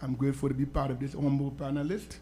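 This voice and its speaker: A man's voice: mid-range voice, little pitch movement, fast talker, mellow, slight background noise. A man from Liberia, introducing himself on a panel in a formal, courteous way with long listing sentences. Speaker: researcher on a panel.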